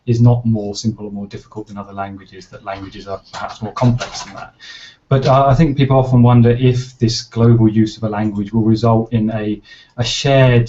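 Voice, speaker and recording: A man's voice: lowish voice, dark tone, highs cut off. A man speaking on an academic panel, explaining his points articulately with some hesitations.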